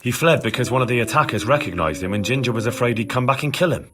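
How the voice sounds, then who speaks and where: An actor playing an earnest, plucky young peasant turned soldier in a video game drama, plain-spoken and reassuring. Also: male voice, medium pitch, animated, quick pace, crowd noise, natural voice. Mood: insistent, persuading.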